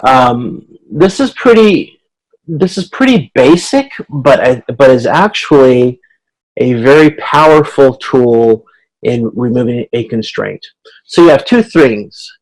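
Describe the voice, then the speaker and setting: A man with a medium-pitched voice gives an informal online lecture. He explains in a halting way, often restarting a phrase or repeating words before carrying on. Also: vocal fry, poor audio. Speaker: instructor. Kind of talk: informal explanatory lecture over video conference.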